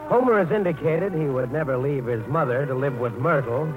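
A man's voice: on the high side, fairly quick, music in the background. A man giving wry, scripted storytelling narration for a 1940s radio drama, on a tinny old broadcast.

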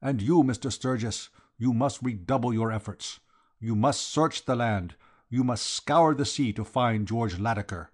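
A man's voice: mid-range voice, unhurried, darkish tone, close to an everyday voice. A man voicing a formal, flowery foreign count, solemn and emphatic, devoted to his master, in a storybook reading. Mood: grandly fervent.

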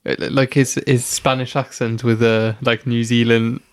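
A man's voice: mid-range voice, level tone, moderate pace, smooth. A man co-hosting a casual podcast, tossing in jokey questions and teasing asides. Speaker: podcast co-host. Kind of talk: casual chat.

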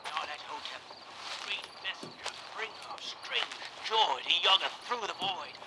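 Horror radio play cultist: gravelly, higher-pitched, incantatory, noisy antique recording. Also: male voice, very animated, a little slow, heavily acted. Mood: fervent, reverent. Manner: incantatory, theatrical, swelling from hushed to loud. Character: fervent male human cultist chanting a dark ritual. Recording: noisy old wax cylinder field recording.

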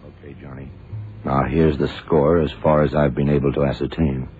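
A gruff sardonic blind detective, super low voice, old radio drama, tinny phone-like sound. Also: male voice, level tone, moderate pace, music in the background. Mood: businesslike. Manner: terse, wry, slangy interrogator. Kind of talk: 1960s-70s radio drama acting. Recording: muffled phone-like vintage radio, faint noise.

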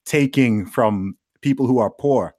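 A man's voice: mid-range voice, measured pace, dark tone, no room sound, clean studio sound. A man in casual conversation, talking earnestly with many restarts, who grew up in Saudi Arabia.

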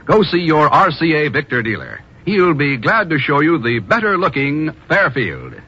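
Lively higher-pitched vintage radio announcer man. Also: moderate pace, background noise. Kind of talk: scripted 1950s radio announcing and ad reading. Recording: dull old radio broadcast, music behind.

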